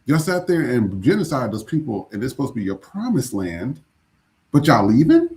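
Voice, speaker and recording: A man's voice: mid-range voice, sing-song, normal speed, darkish tone. A man from the United States co-hosting a news podcast, talking loosely and indignantly with some profanity. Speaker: podcast co-host. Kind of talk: casual news commentary.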